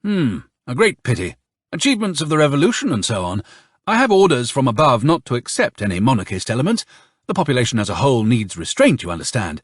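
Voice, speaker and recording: A man acts out a suave army colonel for an audiobook. The voice is pitched up and swoops widely, with a husky edge. He is honeyed, emphatic and insincerely polite. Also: sing-song, a little fast, no room sound, clean studio sound. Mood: feigned regret.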